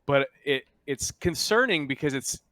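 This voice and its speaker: A man runs a tabletop roleplaying game as game master. His voice is bright and sits in a dry space. He describes events and gives rulings in a casual, conversational way. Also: a little high, expressive, moderate pace, no room sound.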